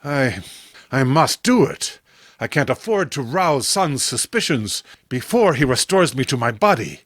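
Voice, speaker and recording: Man playing a horrified victim trapped in a doll, melodramatic horror comic acting, halting, slow, dramatic swings in pitch. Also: sing-song, fairly bright tone, dry recording, clean studio sound. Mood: desperate resolve.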